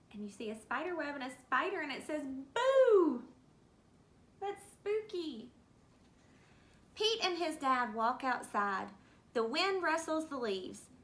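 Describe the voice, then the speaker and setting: A woman teacher reading a picture book aloud to children, gently pointing out the pictures and explaining. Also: high-pitched.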